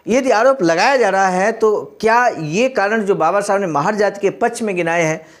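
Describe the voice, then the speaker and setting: A man with a distinctly high-pitched voice reads and comments as a speaker would. His delivery is earnest and exhortative, moving between recited text and explanation aimed straight at the listener. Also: moderate pace. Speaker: speaker reading and commenting on a historical speech. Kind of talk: read-aloud speech with earnest explanatory commentary.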